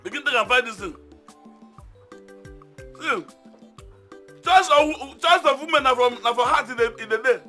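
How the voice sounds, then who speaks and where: A man's voice: very high voice, expressive, a little slow, long pauses, pushed and bright, soft background music, heavily acted. An actor with a Nigerian Pidgin accent playing a man who presses about a debt and rambles advice. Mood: lecturing. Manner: rambling, lecturing. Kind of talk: film acting.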